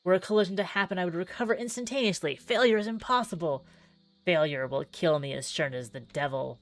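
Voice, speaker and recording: A woman reads story text from a visual novel aloud in a fairly low voice. Her delivery is theatrical and deliberate, with pauses for effect. Music plays softly underneath her. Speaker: streamer playing a visual novel demo. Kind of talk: dramatic read-aloud of narrative game text.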